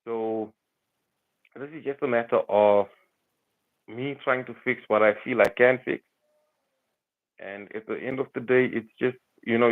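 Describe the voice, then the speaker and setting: A South African man chatting casually to livestream viewers, earnest and rambling. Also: on the low side. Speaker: livestreamer and community volunteer. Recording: tinny phone-like livestream audio.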